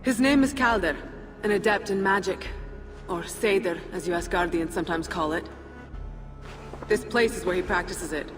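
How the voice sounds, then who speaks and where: An actress playing a proud, wary fire-giant warrior princess in a game cutscene, formal and imperious in manner. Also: female voice, fairly low pitch, normal speed, close to an everyday voice. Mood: guarded. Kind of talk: dramatic game cutscene acting. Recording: long hall reverb, faint music behind.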